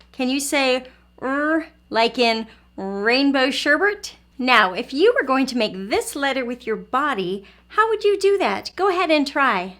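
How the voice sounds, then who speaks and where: A woman's voice: high voice, a bit bright. A woman hosting an early learning lesson, speaking to small children in simple, encouraging phrases and questions. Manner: encouraging, asks questions and pauses for young viewers. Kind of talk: children's lesson and storybook read-aloud.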